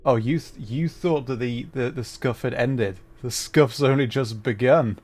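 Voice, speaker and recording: A man's voice: mid-range voice, unhurried, darkish tone. A man hosting a casual gaming livestream, chatting in a flustered, apologetic and self-deprecating way. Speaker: livestream host playing a video game. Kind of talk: casual livestream chat while troubleshooting.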